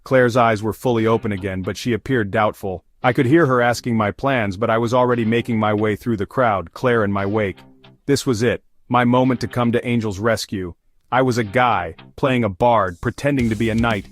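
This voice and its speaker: A man's voice: medium pitch, normal speed. A man narrating a first-person comedic fantasy story as a scripted audio series. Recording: music behind the voice.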